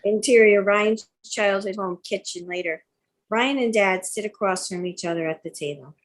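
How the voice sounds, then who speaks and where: A woman's voice: medium pitch, moderate pace, low-quality recording. A woman reading screenplay stage directions as scripted narration at a table read.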